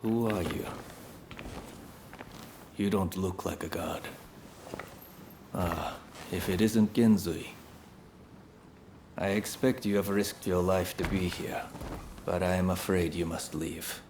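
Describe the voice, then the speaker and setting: An actor playing a revered, imprisoned samurai teacher, calm and resigned, speaking softly in formal, measured phrases. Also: male voice, low voice, gravelly, background noise, exaggerated voice. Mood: calm, resolute. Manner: solemn, philosophical, formal.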